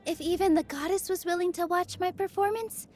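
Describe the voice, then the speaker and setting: A woman voicing a gentle, sunny young dancer in a video game, earnest and encouraging, with a sweet, coaxing manner. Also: very high voice, steady tone, fairly quick, faint music behind. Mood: earnest, curious. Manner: sweet, soft-hearted, bubbly, coaxing. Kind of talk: scripted video game character lines.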